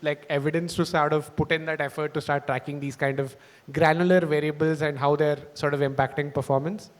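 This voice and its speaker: A man on stage at an event hall who asks his guest questions in a loose, casual way. His slightly high voice rings with the long reverb of the room. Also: steady tone, fairly quick. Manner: casual, filler-heavy questions. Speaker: startup presenter. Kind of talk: onstage interview.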